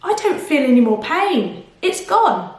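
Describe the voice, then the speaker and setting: A woman's voice: high voice, sing-song, a little slow, darkish tone, voice pushed up. A woman voicing a big, bossy male crocodile in a children's storybook reading. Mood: relieved, excited. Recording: very echoey room, distant mic.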